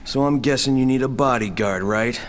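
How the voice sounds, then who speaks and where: A man's voice: medium pitch, a little fast, a little hiss or hum, natural voice. An actor playing a wry, teasing male escaped prisoner.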